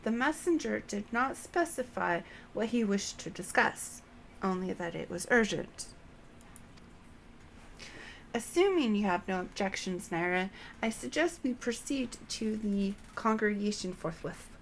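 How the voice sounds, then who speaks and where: Woman reading as a formal, creaky young male scholar. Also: mid-range voice, expressive, measured pace, a little hiss or hum. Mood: earnest, urgent. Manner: formal, wordy.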